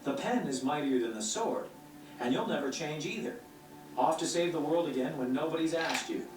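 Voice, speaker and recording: An actor playing a pompous, theatrical poet bard in a video game, declaiming in florid phrases. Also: male voice, fairly high pitch, lively intonation, moderate pace, close to an everyday voice. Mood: pompous, wry. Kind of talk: video game cutscene acting. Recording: roomy distant game playback, music behind.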